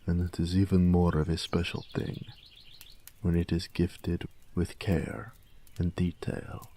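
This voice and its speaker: A man plays a big-hearted orc blacksmith in a romantic audio roleplay. His voice is very low and mellow, and he takes his time with each phrase. The delivery is tender, measured and wistful, sometimes hushed. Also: level tone, exaggerated voice. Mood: warm, affectionate. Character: gentle peace-loving male orc blacksmith, tender and wistful.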